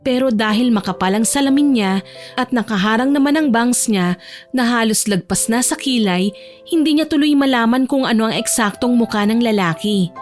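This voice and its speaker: High-voiced female storyteller. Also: normal speed. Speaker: narrator. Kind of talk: scripted romance audio-drama narration. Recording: music bed behind the voice.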